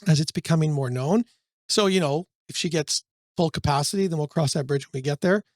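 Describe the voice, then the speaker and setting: A man co-hosting a real estate brokerage podcast in a casual chat, rambling with fillers, his voice a little hoarse from a cold. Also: a little high, brisk, no echo, studio quality. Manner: rambling, searching for words, many fillers.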